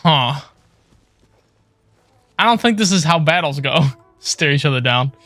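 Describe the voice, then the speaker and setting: A man's voice: on the high side, animated, unhurried, sharp tone, no room sound. A man casually commentating as he streams a video game live. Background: video game music.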